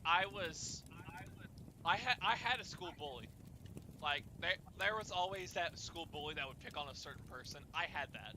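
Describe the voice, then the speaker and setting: A young man telling personal stories casually, in a halting way with repeated words and restarts. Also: fairly high pitch, expressive, noisy background. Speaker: storyteller and host of a group chat.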